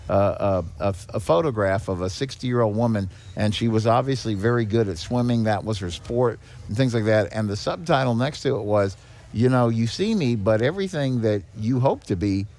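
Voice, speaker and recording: A man's voice: lowish voice, moderate pace, a little mellow. A man talking conversationally as a podcast guest, explaining things and often repeating or restarting his words. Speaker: guest, director of a seniors' charity.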